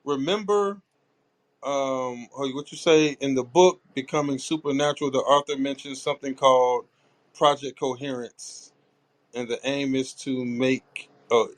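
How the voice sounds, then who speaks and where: A man's voice: mid-range voice, fairly even intonation, rough recording. A man hosting a podcast in casual chat, rambling and joking, sometimes reading a book passage aloud. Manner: reads passages aloud haltingly. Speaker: podcast host.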